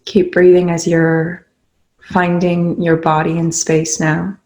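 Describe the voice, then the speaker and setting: A meditation leader guiding listeners in a soothing, unhurried way, with pauses between short instructions. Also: mid-range voice, monotone, a little mellow. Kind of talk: guided meditation.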